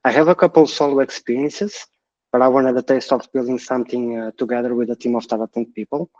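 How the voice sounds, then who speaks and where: A man in his thirties answers interview questions in a halting way, often repeating his words. His voice comes through dull, lo-fi call audio that sounds dry and close. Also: medium pitch, fairly even intonation, a little mellow, dry recording. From Portugal. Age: 30s. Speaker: game director. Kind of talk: interview guest.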